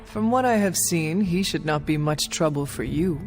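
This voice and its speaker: An actress playing a poised, curious warrior woman in a video game, speaking in a formal, measured way. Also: low voice, expressive, soft background music. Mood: composed, curious. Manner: formal, measured, few contractions. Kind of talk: video game cutscene dialogue.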